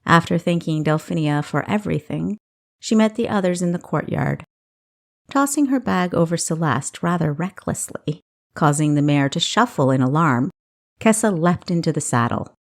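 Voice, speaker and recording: A woman's voice: low voice, expressive, moderate pace, vocal fry. A woman narrating a novel as an audiobook, reading expressively from a script.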